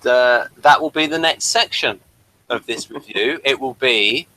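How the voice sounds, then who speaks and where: A man co-hosting a casual TV episode review, talking in a halting, hesitant way. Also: mid-range voice, sharp tone, low-quality recording. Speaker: review show co-host.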